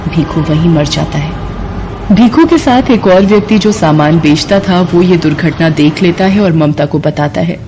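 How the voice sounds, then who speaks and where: Steady woman cartoon narrator. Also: lowish voice, moderate pace, noisy background. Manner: steady storytelling, also voices the poor mother.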